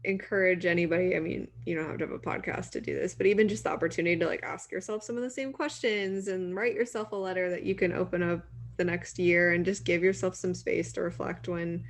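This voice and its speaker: A woman's voice: lowish voice, fairly even intonation, fast talker. A woman in her late twenties co-hosting a podcast, talking in an informal, encouraging way with plenty of "like". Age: late 20s. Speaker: podcast co-host interviewing her co-host. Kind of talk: casual podcast chat.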